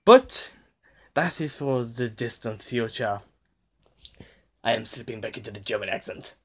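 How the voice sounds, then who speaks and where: A giddy young man in his twenties gushes into a phone held at arm's length in a small bedroom. His voice sits at a middling pitch, and he lurches from near-shouts down to breathy whispers. He swears freely as he raves. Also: measured pace, slight background noise. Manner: giddy and gushing, swings from shouting to hushed whispers, swears a lot. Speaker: vlogger. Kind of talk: excited reaction vlog.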